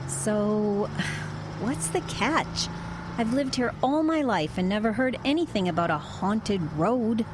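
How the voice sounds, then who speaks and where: A no-nonsense working woman in a radio drama, with a mid-pitched voice and a direct, conversational manner. She is dry and plain-spoken, a truck driver who says what she means. There is faint noise behind her. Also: animated, takes it slowly. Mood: curious, dry. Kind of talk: audio drama acting and first-person narration.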